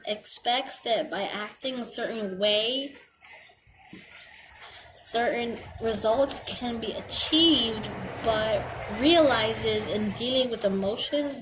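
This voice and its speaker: A young woman with a girlish voice reads a checklist aloud and comments on it hesitantly, stumbling over words and filling pauses. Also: fairly high pitch, rough recording. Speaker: vlogger. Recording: home video with clear background noise.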